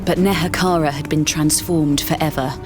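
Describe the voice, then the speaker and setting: A woman's voice: deep voice, fairly even intonation, close to an everyday voice. A woman voicing an earnest scholar-mage. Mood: storytelling. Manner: measured, explanatory storytelling. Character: earnest young female scholar-mage, loyal and composed. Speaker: expedition scholar. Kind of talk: game cutscene voice acting. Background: game music.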